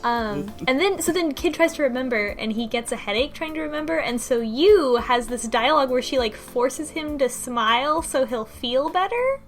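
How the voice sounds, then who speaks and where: A woman's voice: high-pitched, lively intonation, normal speed, few pauses. A woman on a casual book club panel, talking in a wry, incredulous, conversational way. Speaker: podcast panelist. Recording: music behind the voice. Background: background music.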